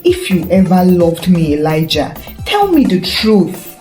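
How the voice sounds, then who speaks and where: A wounded, distrustful wife from a story, acted out by a woman narrator. She is guarded and accusing, with a mellow tone and very mobile pitch, over faint music. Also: sing-song, measured pace. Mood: hurt, doubting. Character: hurt, bitter, distrustful wife of a pastor. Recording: roomy echo with faint music.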